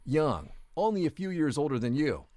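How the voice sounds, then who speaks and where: A man's voice: a little high, very animated, normal speed, warm tone, dry recording, clean studio sound. A man narrating a story video in the second person, with a wry, jokey delivery.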